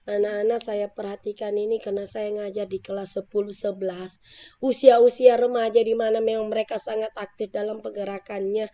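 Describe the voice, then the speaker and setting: A woman teacher with a Central Sulawesi Indonesian accent, hoarse from a cold, politely and earnestly explaining her classroom struggles in a coaching conversation. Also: mid-range voice, dark tone. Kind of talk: role-play coaching conversation.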